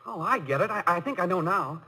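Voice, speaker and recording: An actor playing an eager, bashful young college student in a 1950s radio comedy-drama, boyish, polite and stammering. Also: male voice, sits high, animated, a little fast, sharp tone, breathy edge, exaggerated voice. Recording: noisy old radio broadcast recording.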